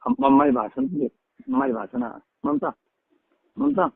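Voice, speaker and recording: A man's voice: a little slow, creaky, slightly rough, dry recording. A man in an informal phone conversation, pleading and repeating himself as he tries to be heard. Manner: pleading, repetitive, interrupting to be heard. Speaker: father-in-law on a family phone call. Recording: muffled low-fidelity phone call recording.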